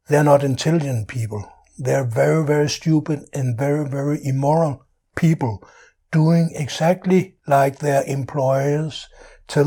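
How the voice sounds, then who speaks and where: Slow-paced Danish man giving political commentary. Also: mid-range voice, a little mellow, breathy edge. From Denmark. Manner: halting delivery with non-native English phrasing. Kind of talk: opinionated political monologue.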